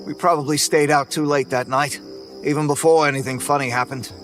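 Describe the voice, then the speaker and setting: A man narrating a first-person horror story in a relaxed, folksy way, over faint music. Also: a little high, brisk.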